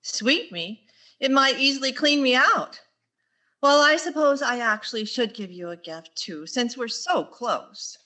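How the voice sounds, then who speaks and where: A woman's voice: sits high, animated, a little slow, pushed and bright, full character voice. A woman playing a wry, put-upon schoolteacher in a radio sitcom, with a dry, wisecracking delivery. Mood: dryly resigned. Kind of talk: radio sitcom acting and narration.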